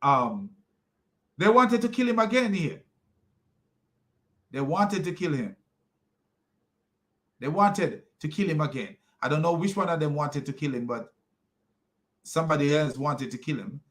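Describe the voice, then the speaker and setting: A man's voice: fairly high pitch, lively intonation, a little slow, pauses often. A Jamaican man ranting in an online commentary video, mocking and scornful, rambling with many restarts. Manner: mocking and scornful, with repeated insults. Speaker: religious commentary video host.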